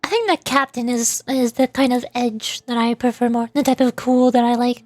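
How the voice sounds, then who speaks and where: A woman's voice: fairly high pitch, normal speed, a little mellow, dry recording. A young woman doing casual livestream game commentary as a VTuber, playful, teasing and rambling.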